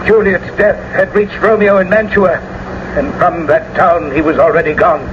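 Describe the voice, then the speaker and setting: An actor playing an earnest young messenger with grave news in a classical radio drama. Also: male voice, high voice, flat delivery, moderate pace, close to an everyday voice. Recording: dull, noisy old radio broadcast.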